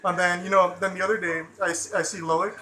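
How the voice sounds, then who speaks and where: American male vlogger, high, sharp, bright tone, loose, sweary, filler-heavy delivery. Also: little pitch movement, quick pace, slight background noise, poor audio. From Chicago suburbs, USA. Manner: loose and profane, heavy on fillers like "you know" and "like". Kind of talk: casual rambling vlog talk.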